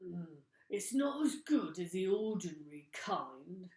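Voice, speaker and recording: A woman's voice: lowish voice, very animated, far from the mic, heavily acted. Mean hairy old man, storybook trickster, blustery and drawling, put on by a female reader. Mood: grumbling. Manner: loud, blustering, slow drawl. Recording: echoey room.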